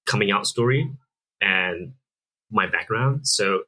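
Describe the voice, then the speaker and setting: Husky low-voiced man from Singapore. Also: expressive, slow pace, sharp tone, poor audio. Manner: earnest, explanatory, with frequent restarts. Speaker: app founder guest. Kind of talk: conversational interview answers.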